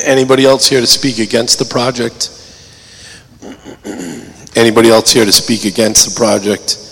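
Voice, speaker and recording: A man chairing a council public hearing, hoarse and losing his voice, clearing his throat. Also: medium pitch, flat delivery, a little slow, long pauses, a bit bright. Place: council chamber. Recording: echoey chamber, faint noise.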